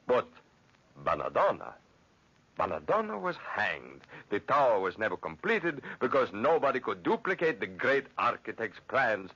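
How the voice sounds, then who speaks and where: An actor playing a vain, violent master architect in a pompous, theatrical old-time radio style. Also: male voice, lowish voice, fairly bright tone, breathy edge, exaggerated voice. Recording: dull, lo-fi old radio recording.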